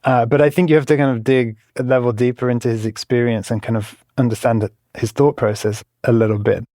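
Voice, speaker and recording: A man's voice: mid-range voice, steady tone, fairly quick, warm tone, no room sound, clean studio sound. A man in the role of a reporter, explaining a story in an informal, conversational way. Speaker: reporter being interviewed.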